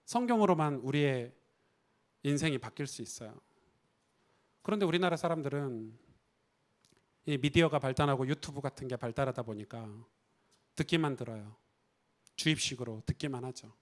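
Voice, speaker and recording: A man's voice: mid-range voice, normal speed, pauses often. A man preaching a Sunday sermon as a pastor in a church, talking conversationally and asking the congregation rhetorical questions. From Korea. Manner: conversational, rhetorical questions, acts out quoted voices.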